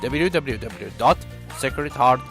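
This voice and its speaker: A man reading scripted news voice-over in a lively announcer style. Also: medium pitch, slow, over loud music. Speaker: news narrator.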